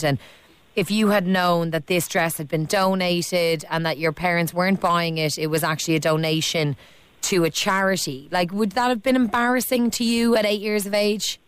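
A woman's voice: fairly low pitch, smooth, no room sound. Chatty Irish woman radio host. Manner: chatty, teasing, reads out listener texts. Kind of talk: phone-in radio chat. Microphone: studio mic.

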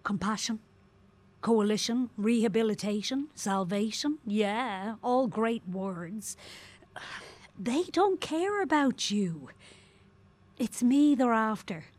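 Husky, slow-talking, scheming condemned woman. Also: a little high, lively intonation, darkish tone. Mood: urgent, insistent. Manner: terse, commanding, persuasive. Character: shrewd, persuasive condemned woman, older sister-like, urgent schemer. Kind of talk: naturalistic radio drama acting.

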